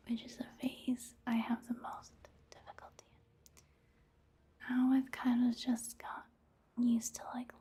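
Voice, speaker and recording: A woman softly whispering casual ASMR gameplay commentary in a breathy, hushed, unhurried way. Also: a little high.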